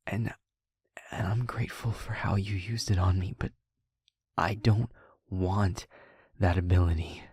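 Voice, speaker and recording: A man playing an anxious, tender, protective young vampire boyfriend in an intimate audio roleplay, speaking haltingly and often in a whisper. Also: low-pitched, darkish tone, husky, no echo, clean studio sound, full character voice.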